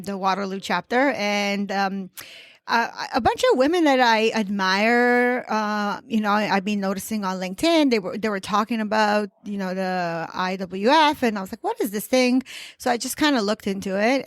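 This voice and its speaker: A woman's voice: medium pitch, normal speed, dry recording. A woman in her thirties from Romania with a Romanian accent, chatting as a podcast guest, laughing often and talking with many fillers and restarts. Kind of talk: casual interview chat.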